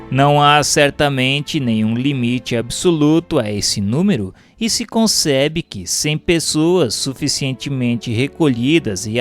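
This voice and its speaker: A man with a Brazilian accent reading a doctrinal text aloud as a narrator, in a formal, measured, didactic way. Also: medium pitch. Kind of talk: scripted audiobook-style narration of a doctrinal text.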